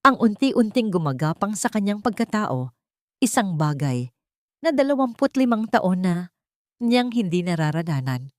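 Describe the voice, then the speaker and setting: A woman's voice: fairly low pitch, no room sound, studio quality. A woman reading a dramatic scripted story as its narrator.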